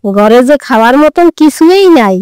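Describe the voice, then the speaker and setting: A woman's voice: on the high side, expressive, moderate pace, a little mellow, clean tone, dry recording, natural voice. A woman voicing an older village mother-in-law in a comedy cartoon, doting yet nagging, with music behind. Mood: bossy. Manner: nagging, coaxing.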